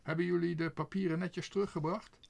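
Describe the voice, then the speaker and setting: A man's voice: high voice, steady tone, brisk, vocal fry, a little mellow, voice pushed up. A man playing a kindly, orderly father in a storybook. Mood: expectant, satisfied.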